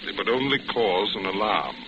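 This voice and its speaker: An actor playing a suave, secretive gentleman traveler in a 1940s radio suspense drama, speaking in a smooth, evasive way. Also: on the low side, expressive, fairly quick, noisy background, close to an everyday voice. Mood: cautious. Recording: muffled vintage radio recording with music.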